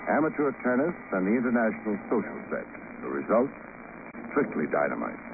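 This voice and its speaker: An actor playing a wisecracking, breezy private investigator in a 1950s radio drama, telling his case in dry, ironic, colloquial patter. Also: lowish voice. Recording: tinny, noisy old radio recording.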